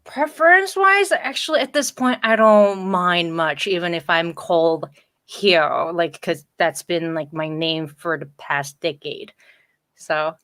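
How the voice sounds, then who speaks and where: A woman's voice: on the low side, sharp tone, no echo, clean studio sound. A woman whose first language is Korean, a guest on a video-call interview, talking casually with many "like" fillers. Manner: chatty, lots of "like" fillers, laughs often. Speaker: guest, entertainment design coordinator.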